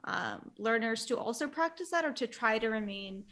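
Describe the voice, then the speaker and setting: Young woman host with a bright, raised voice. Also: on the high side, expressive, normal speed. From United States. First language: Romanian. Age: young adult. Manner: chatty, many um fillers. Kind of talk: casual video-call interview. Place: small room indoors. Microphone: headset.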